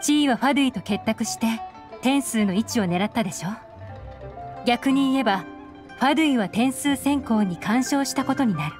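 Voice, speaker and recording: A woman's voice: a little high, animated, moderate pace, over music, lightly acted. A woman voicing a shrewd, secretive young intelligence agent in an anime game, composed, knowing and slightly teasing. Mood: cool, calculating.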